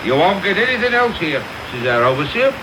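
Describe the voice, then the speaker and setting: An actor playing a callous, self-important workhouse beadle with an English accent, talking pompously in a radio period drama. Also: male voice, high voice, very animated, a little fast. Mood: scornful relish. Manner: pompous, wordy storytelling. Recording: very noisy medium-wave radio recording.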